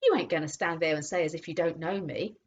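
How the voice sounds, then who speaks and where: A woman doing a bluff, loud old cabby. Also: low voice, fast talker. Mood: hearty. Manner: loud, blunt and homely. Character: rough-spoken working-class London cabby, bluff and warm-hearted. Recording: dull lo-fi audiobook recording.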